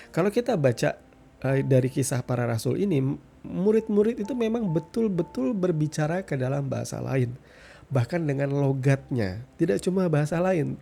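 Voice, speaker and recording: Gravelly, mellow man preaching informally. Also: mid-range voice. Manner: conversational teaching, frequent tag questions and pauses for emphasis. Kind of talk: informal sermon, Bible reflection.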